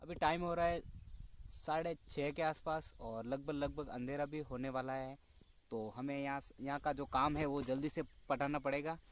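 A man's voice: mid-range voice, steady tone, normal speed. A young man chatting to viewers in a casual outdoor vlog, laughing often, recorded on a phone in dry scrubland. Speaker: vlogger.

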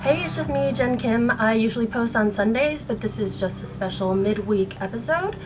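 An American woman in her thirties speaking in an earnest, halting way, upset and shaken, as in a personal vlog. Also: fairly high pitch, loud music behind the voice, low-quality recording. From United States. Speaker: vlogger. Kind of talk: personal video blog, partly reading aloud. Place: small bedroom at home. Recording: noticeable background room noise.

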